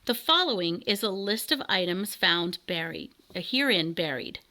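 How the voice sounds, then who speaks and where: A woman's voice: on the low side, lively intonation, moderate pace, bright, forceful tone. A woman voicing an eager, earnest boy adventurer in a children's storybook.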